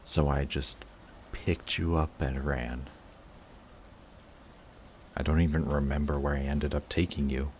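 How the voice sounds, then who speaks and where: A man playing an emotional villain confessing to his longtime enemy in a dramatic audio roleplay, intense, halting and defensive. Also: rumbling low, level tone, darkish tone, a little hiss or hum. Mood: pained, confessing.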